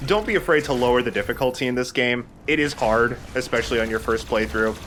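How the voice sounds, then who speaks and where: A man's voice: medium pitch, quick pace, a bit bright, slight background noise. A man giving casual, chatty gameplay commentary, explaining things as he plays and swearing casually. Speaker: let's play host and player.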